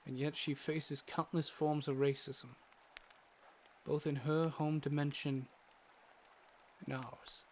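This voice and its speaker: A man playing a learned, easily exasperated doctor, narrating a fantasy audio drama in a measured, earnest way. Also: a little high, flat delivery, darkish tone, slight background noise. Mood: grave, measured. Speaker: narrator and lead character.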